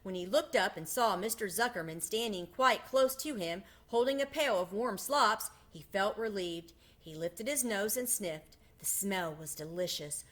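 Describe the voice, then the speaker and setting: A woman reads a children's story aloud with a bright, forceful tone. Her mid-pitched voice carries an expressive storytelling style. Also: a little slow, hardly any pauses. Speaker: storybook reader.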